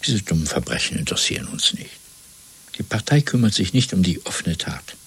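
An actor playing a cold, fanatical interrogator in a radio play, deliberate and patronising, with a gravelly voice that often sinks to a whisper. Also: male voice, low-pitched, sing-song, exaggerated voice. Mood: menacing zeal. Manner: deliberate, patronising, swinging between soft and commanding. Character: cold, fanatical male Party interrogator and torturer. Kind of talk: 1970s German radio play acting.

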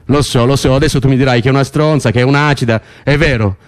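An actor playing a bossy, coaxing prisoner in a naturalistic radio drama, insistent and teasing, often repeating himself. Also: male voice, mid-range voice, fast, natural voice. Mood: excited, nostalgic. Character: bossy, coaxing, excitable male prisoner rehearsing a play.

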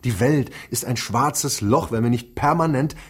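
An actor playing a middle-aged industrialist father in a radio drama, a ruthless visionary who shifts between halting and declamatory talk. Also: mid-range voice, husky.

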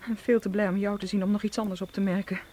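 A woman's voice: mid-range voice, level tone, fast, mellow, close to an everyday voice. An actress playing an anxious, impulsive young woman in a radio drama, speaking in an earnest, defensive way. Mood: startled, defensive. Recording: clear noise, outdoor night insect ambience. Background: chirping crickets.

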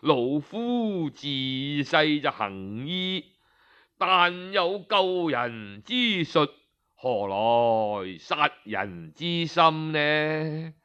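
A man voicing a kindly, courteous old physician living as a hermit, gently reassuring in a Cantonese storytelling style. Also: on the high side, sing-song, drawn-out words, clear, resonant tone, lo-fi sound, exaggerated voice. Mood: gentle, reassuring. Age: older.

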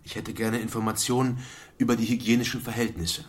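An actor playing an earnest, polite newspaper reporter in a radio drama, with a businesslike manner. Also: male voice, on the low side, little pitch movement, a little fast, lightly acted.

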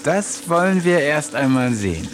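A man's voice: fairly high pitch, big pitch swings, moderate pace, over music. A man playing an eccentric village man who talks to animals, narrating a German radio play in a wry, musing first-person voice. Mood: amused. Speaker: narrator and lead character.

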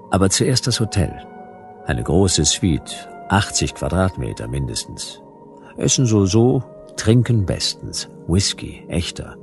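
An actor playing a world-weary private detective, narrating a sci-fi radio play in a laconic, ironic, hard-boiled style. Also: male voice, low-pitched, gravelly, full character voice.

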